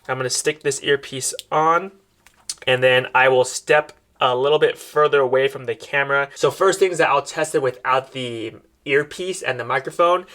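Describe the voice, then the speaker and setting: A man with a bright, forward voice gives a casual product demonstration. He speaks as a reviewer addressing his viewers, explaining things step by step in an informal way. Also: medium pitch, a little hiss or hum.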